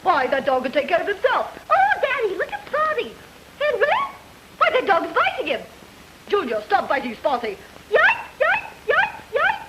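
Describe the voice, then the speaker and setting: A woman's voice: very high voice, huge pitch swings, moderate pace, background noise, voice pushed up, heavily acted. A woman voicing a bickering family and their dog in an exaggerated mock radio skit. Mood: excitable. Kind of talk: mock radio skit, playing every part.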